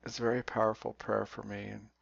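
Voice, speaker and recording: A man leading a guided meditation in a slow, deliberate way, leaving long pauses. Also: lowish voice, level tone, gravelly, breathy edge. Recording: dull, roomy recording.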